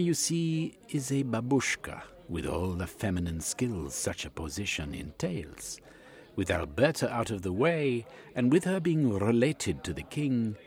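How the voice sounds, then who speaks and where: A man's voice: medium pitch, creaky, darkish tone, voice pushed up. A man voicing a scheming, scornful male foreign spy villain who threatens and sneers.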